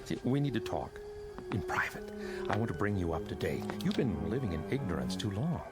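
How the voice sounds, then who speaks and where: An actor playing a menacing, courteous killer in a radio drama, unhurried and falsely polite as he toys with his victim. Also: fairly low pitch, very animated, loud music behind the voice. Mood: coolly menacing.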